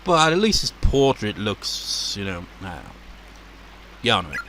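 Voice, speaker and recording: A man with a deep voice giving casual, rambling commentary as a let's play host, laughing and swearing as he goes. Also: very animated, moderate pace, background noise. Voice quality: naturally deep voice.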